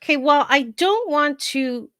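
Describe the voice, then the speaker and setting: A woman's voice: sits high, animated, a little slow, fairly bright tone, no echo, studio quality. A woman with a heavy New York accent hosting her own reality TV commentary channel, sarcastic and playful, laughing often as she talks. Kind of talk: casual chatty commentary.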